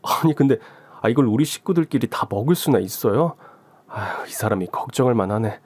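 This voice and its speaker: A man's voice: mid-range voice, expressive, a little fast, darkish tone, breathy edge, voice pushed down. A man playing a teasing, mock-jealous middle-aged husband in a radio story, with casual grumbling banter. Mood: impressed, teasing. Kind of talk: Korean radio story acting.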